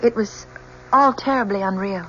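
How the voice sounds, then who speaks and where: A woman's voice: medium pitch, normal speed, fairly bright tone, close to an everyday voice. An actress in an old-time radio drama playing a troubled, conscience-torn young woman with a hesitant, emotional delivery. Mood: hesitant, subdued. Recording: muffled old radio recording, faint noise.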